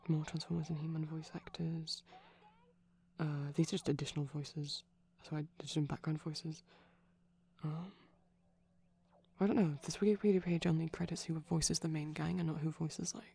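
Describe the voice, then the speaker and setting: A man speaking softly in a chatty, rambling ASMR style, often dropping to a whisper, digressive and tentative. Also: on the high side, little pitch movement, moderate pace, gravelly, slightly rough. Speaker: ASMR performer. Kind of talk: soft-spoken rambling ASMR commentary on a film. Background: TV film audio playing in background.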